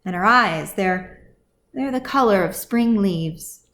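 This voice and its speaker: A woman voicing a gentle, bearded widower dwarf in a fantasy audiobook, soft and hesitant. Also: mid-range voice, slow pace. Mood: hesitant attachment. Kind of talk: audiobook character acting.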